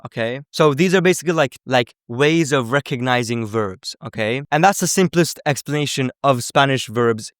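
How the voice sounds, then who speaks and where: A man's voice: medium pitch, normal speed, few pauses, no echo, clean studio sound. A man giving an informal language lesson in a conversational way, often checking in with short tag questions. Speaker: language teacher.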